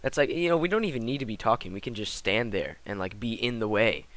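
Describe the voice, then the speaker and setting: A teenage boy giving casual commentary over gameplay, slightly hoarse from a cold, rambling with lots of fillers. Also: fairly low pitch, expressive, fast talker, a bit bright. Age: teens. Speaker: gaming commentator.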